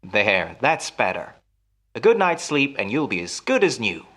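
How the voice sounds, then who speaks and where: A smooth radio-melodrama husband played by a male actor, with a very lively, swooping delivery. He soothes and coaxes in a patronizing way, with quiet menace under the concern. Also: medium pitch, measured pace. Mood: soothing concern. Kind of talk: old-time radio melodrama acting.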